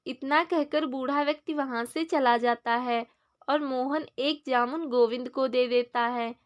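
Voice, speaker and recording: A woman with a clearly high voice reads a scripted children's tale. She is the storyteller, presenting a moral story as narration. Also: steady tone, a little slow.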